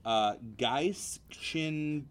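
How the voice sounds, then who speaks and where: A man's voice: a little high, clear, resonant tone. Joking man hosting a casual chat. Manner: joking, halting with restarts. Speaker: host.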